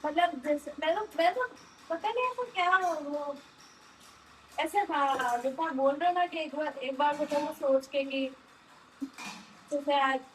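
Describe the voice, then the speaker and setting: A woman chatting casually in halting, hesitant phrases. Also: high-pitched, a bit bright, soft background music, poor audio. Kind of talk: casual chat. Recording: faint ambient background noise.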